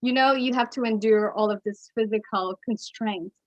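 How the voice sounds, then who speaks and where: High-voiced Mexican woman giving a webinar. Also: poor audio. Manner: expressive, chuckles between points, speeds up and slows down. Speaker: keynote speaker and coach. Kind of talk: webinar presentation on storytelling.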